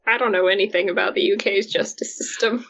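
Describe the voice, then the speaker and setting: A woman's voice: medium pitch, steady tone, fast talker, a bit bright. Dryly playful woman, lo-fi podcast mic. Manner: dryly playful, reads with dramatic flair. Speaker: podcast co-host. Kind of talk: casual chat and dramatic reading aloud.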